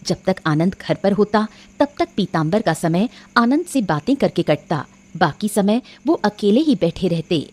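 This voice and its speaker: A woman's voice: medium pitch, lively intonation, measured pace, hardly any pauses, a little mellow. A woman narrating a scripted moral cartoon story.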